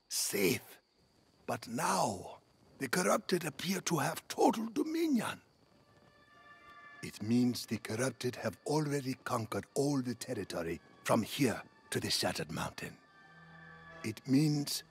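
Grave high-voiced fantasy commander. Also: male voice, gravelly, breathy edge, heavily acted. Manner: formal, solemn, measured. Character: grave, strategic male commander, worried but resolute. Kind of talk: fantasy video game dialogue.

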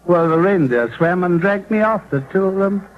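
An actor playing a boastful young rascal of a son, spinning tall tales in grandiose 1940s radio style. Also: male voice, high voice, expressive, moderate pace. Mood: grandiose, excited. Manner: declamatory, storytelling, grandiose. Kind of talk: 1940s radio drama acting. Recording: muffled 1940s radio broadcast, faint noise.

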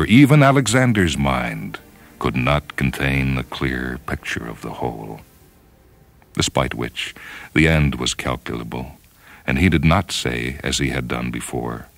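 A man reading a story as a dramatic narrator, with measured, solemn and deliberate phrasing. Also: rumbling low. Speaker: narrator reading short fiction. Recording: music under the voice. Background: orchestral piano music.

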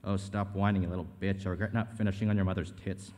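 A man's voice: low voice, flat delivery, quick pace, dark tone, close to an everyday voice. A man reading the part of a crude, bullying ghost in a script, profane and taunting, in an echoey hall. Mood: taunting, scornful. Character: crude, scornful, bullying male ghost from a grave. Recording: echoey hall, long reverb.

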